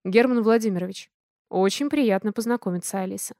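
A tense grown man weighed down by guilt, read by a woman narrator. He speaks low and measured, pressing harder when he insists. The voice is close to the mic and dry. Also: a little mellow, dry recording, lightly acted. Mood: soft, stunned.

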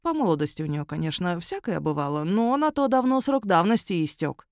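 A woman voicing a dry, unimpressed female magistrate, deadpan and stern with an ironic edge. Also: fairly low pitch, a little fast, no echo. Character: dry, unimpressed young woman magistrate of a werewolf-control unit. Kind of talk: audiobook character voice.

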